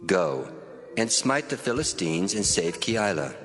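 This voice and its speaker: Deep, commanding God. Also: male voice, sing-song, measured pace, fairly bright tone, faint music behind, exaggerated voice. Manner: stately, authoritative. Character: commanding, solemn male God.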